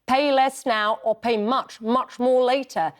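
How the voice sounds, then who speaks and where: A woman's voice: a little high, steady tone, measured pace. A woman as a news anchor, asking questions in a live interview in a conversational way with some fillers.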